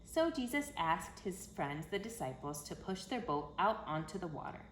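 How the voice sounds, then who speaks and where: Woman, lowish voice, children's read-aloud, dramatic emphasis, echoey room, faint noise. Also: very animated, moderate pace, a bit bright. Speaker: storyteller for children. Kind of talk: expressive children's picture book read-aloud.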